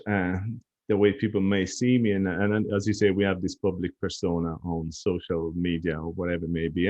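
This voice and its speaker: A man with a low voice talks through his life as a podcast guest. He's reflective and easygoing, and the recording sounds a little dull and lo-fi. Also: darkish tone. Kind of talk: casual chat.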